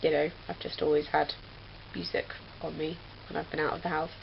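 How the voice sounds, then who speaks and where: A woman's voice: sits low, flat delivery, a little fast, gravelly. A young woman from England chatting casually as a vlogger, rambling with lots of fillers. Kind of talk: casual chat. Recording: dull lo-fi webcam audio with faint hiss.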